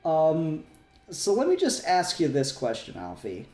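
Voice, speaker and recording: A man's voice: on the high side, wildly swooping pitch, measured pace, a little mellow, echoey room, faint music behind. A man hosting a casual fan discussion podcast, talking in a rambling way and often correcting himself. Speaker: podcast host.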